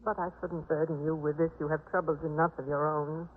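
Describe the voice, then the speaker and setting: Husky-voiced, gentle, anxious wife. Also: female voice, sits low, level tone, fairly quick. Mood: troubled. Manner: earnest, pleading, submissive. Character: gentle, anxious, compassionate wife of a stern householder. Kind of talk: 1950s radio drama acting. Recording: muffled phone-like 1950s radio recording.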